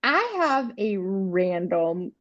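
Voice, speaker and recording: A woman's voice: mid-range voice, big pitch swings, extremely slow, fairly bright tone, no room sound, highs cut off. A woman in her forties from South Carolina, a guest chatting casually and laughing often. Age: 40s. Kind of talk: casual chat.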